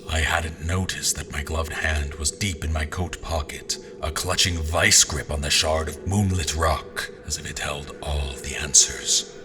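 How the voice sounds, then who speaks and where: A man playing a grim, commanding general who narrates his own dark fantasy tale in a formal, brooding, deliberate way. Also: rumbling low, steady tone, over music. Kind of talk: scripted audio drama, first-person narration and dialogue.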